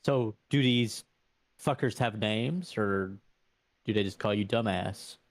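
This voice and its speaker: Guy playing a tabletop rpg, lowish voice, really dry close sound. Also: takes it slowly, dry recording. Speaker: player in a tabletop role-playing game. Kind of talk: tabletop role-play, in and out of character.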